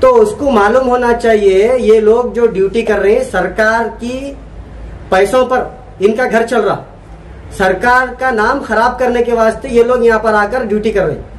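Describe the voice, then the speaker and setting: A very high-pitched man with a Deccani accent delivers a protest statement as an activist spokesman. He is indignant and accusatory, repeating his demands for emphasis. Faint vehicle noise sits behind his voice. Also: steady tone, normal speed, rough recording.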